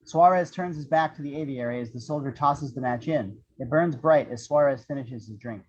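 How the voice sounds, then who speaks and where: A man's voice: mid-range voice, fairly quick, darkish tone, breathy edge. A man reading scripted action descriptions as the narrator of an amateur table read, in muffled audio.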